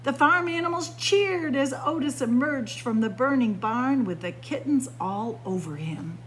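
Librarian lady doing story time, really animated, takes her time, stresses words dramatically, bit of hum behind her. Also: high-pitched, a little slow, low-quality recording. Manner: expressive, dramatic emphasis on key words. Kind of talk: picture-book read-aloud.

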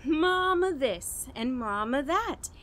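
Radio horror lead: unhinged, guilt-ridden murderess, high sharp voice, halting confession, some background noise. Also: female voice, animated, full character voice. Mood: despairing. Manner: dramatic, halting, broken by manic laughter. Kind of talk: old-time radio horror drama monologue.

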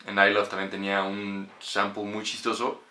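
A man's voice: fairly low pitch, completely flat delivery, moderate pace, sharp tone. A man in his twenties with a Mexican accent, chatting casually and with slang as he gives a music reaction. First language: Spanish. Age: 20s. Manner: informal, slangy, swearing. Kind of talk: casual reaction and review chat. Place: small bedroom, indoors. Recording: roomy bedroom echo, faint music.

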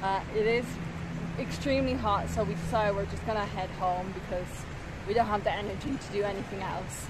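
Young woman travel vlogger, chatty and practical, mid-pitched, outdoor noise behind. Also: fairly even intonation, a little fast. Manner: chatty, upbeat, practical tips. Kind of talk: casual travel vlog chat. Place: outdoors on a hot day. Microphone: handheld camera at arm's length.

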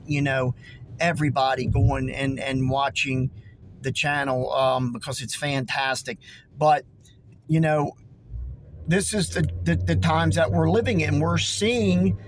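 A hoarse man in his forties talks into a phone held close inside his car, earnest and emphatic, circling back over his points. His voice sits a little high for a man, and faint background noise hangs under it. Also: low-quality recording. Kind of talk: casual preaching to camera.

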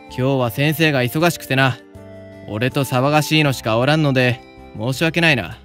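An actor playing a confident, kindly senior samurai student with a Yamaguchi accent and old-fashioned turns of speech, in a voice drama. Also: male voice, mid-range voice, normal speed, a bit bright, soft background music, lightly acted. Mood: affable. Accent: Yamaguchi (Choshu). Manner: old-fashioned, breezy authority. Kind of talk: anime-style voice drama.

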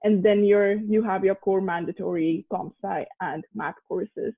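A man's voice: high voice, fairly even intonation, unhurried, vocal fry. A young man from India, a university student, answering earnestly on a video call. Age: young adult. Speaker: student panelist. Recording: tinny phone-like call audio.

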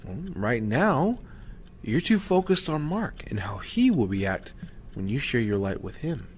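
A man's voice: mid-range voice, big pitch swings, measured pace, slight background noise. A middle-aged man playing an earnest, encouraging father guiding his son in an audio drama. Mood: earnest, instructive.

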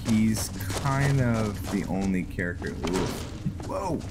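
A man giving casual, halting gameplay commentary as he thinks aloud in a laid-back manner. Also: low-pitched, expressive, very noisy. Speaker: video game streamer, host. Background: music.

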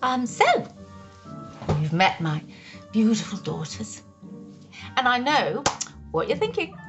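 A woman's voice: fairly high pitch, big pitch swings, slow, lo-fi sound, exaggerated voice. A woman playing a pushy, scheming, gushing stepmother in a comic pantomime. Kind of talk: pantomime comedy. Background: music.

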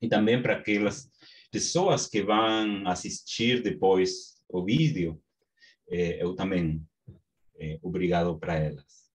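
A man from Peru with a Peruvian Spanish accent, teaching an online class in Portuguese with slow, halting speech and many restarts, over a video call from a small home study. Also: low-pitched, steady tone. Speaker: course instructor. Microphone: webcam on video call.

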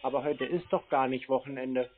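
A man chatting casually on a phone call in a deadpan way, playing naive. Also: mid-range voice, fairly quick. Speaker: prank-minded call recipient stringing along a telemarketer. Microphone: telephone. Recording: thin, band-limited phone sound.

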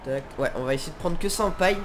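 A man's voice: on the high side, rapid-fire, fairly bright tone, slight background noise. A man with a nasal, stuffed-up voice from a head cold, giving casual, hesitant let's play commentary as he thinks aloud. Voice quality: congested, nasal. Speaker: gaming video host.

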